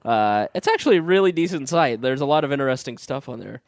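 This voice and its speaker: A man's voice: medium pitch, animated, quick pace, dry recording. Laid-back man with a cold, dry sound. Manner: laid-back, joking, reads news items aloud. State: getting over a cold, phlegmy. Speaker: podcast co-host. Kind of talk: casual chat.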